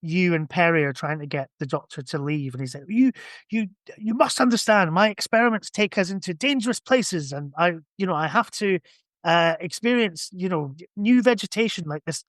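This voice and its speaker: A man's voice: sits high, animated, moderate pace, fairly bright tone, no echo, studio quality. A man chatting casually in a rambling, hedging way, as a guest on a podcast. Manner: hedging, rambling, full of kinda and sort of. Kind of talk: casual chat about science fiction books.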